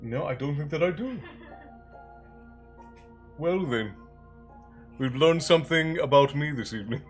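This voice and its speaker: A man's voice: fairly high pitch, animated, measured pace. A man hosting a livestream in casual, joking chat, greeting viewers by name, still a bit congested. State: congested, with a bit of a chesty cough. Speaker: livestream host. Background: soft background music.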